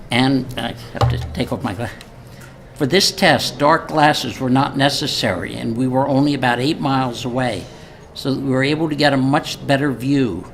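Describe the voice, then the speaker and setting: Older male, mid-pitched, informal public speaker and halting reader, live recording with faint noise. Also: fairly bright tone. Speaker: speaker at a memorial event. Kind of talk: informal speech with reading from a letter. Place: live event before an audience. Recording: live event recording with noticeable background noise.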